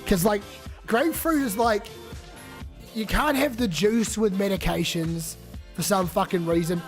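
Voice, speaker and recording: A man's voice: high-pitched, a little slow, soft background music. A young man with a New Zealand accent who is sick, rambling and swearing casually on a live stream while reading chat aloud.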